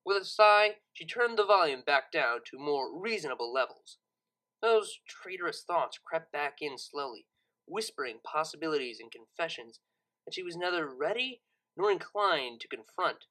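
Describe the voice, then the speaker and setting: A narrator reading a scripted story audiobook in an expressive, dramatic storytelling manner. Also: medium pitch, moderate pace, poor audio.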